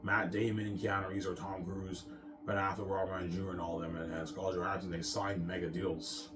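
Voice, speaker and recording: A man's voice: low voice, little pitch movement, fairly quick, over music. A man in a casual, rambling monologue, boastful and repetitive as he circles back over his phrases. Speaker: self-styled film maker talking about his movie projects.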